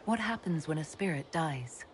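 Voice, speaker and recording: An actress playing a composed elven mage leader in a video game, direct and supportive. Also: female voice, deep voice, expressive, moderate pace, slight background noise. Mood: gentle concern.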